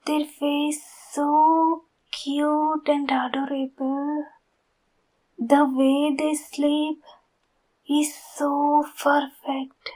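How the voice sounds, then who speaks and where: A woman playing an obsessed, flirty yandere fan in an intimate ASMR roleplay, soft, cooing and sweetly menacing. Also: sits high, little pitch movement, poor audio. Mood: adoring, hushed. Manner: soft, cooing, slow and deliberate, often breathy whispering.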